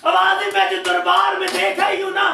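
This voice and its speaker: A man giving an impassioned mourning sermon, declaiming and shouting his lament to a gathering. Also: extremely high pitch, sing-song, normal speed, slight background noise. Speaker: mourning reciter. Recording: echoey hall from far off, faint crowd.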